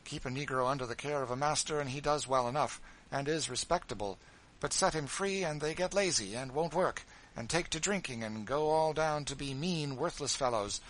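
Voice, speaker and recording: Man doing a petulant rich widow, sharp, complaining, high, bright tone. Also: normal speed, lightly acted, rough recording. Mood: haughty. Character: petulant, self-pitying wealthy widow.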